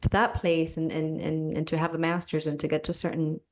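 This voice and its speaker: A woman's voice: deep voice, little pitch movement, brisk, soft background music. A middle-aged woman from Cuba, a podcast guest, telling her story in a reflective way, with many fillers and restarts, laughing easily. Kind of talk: conversational interview.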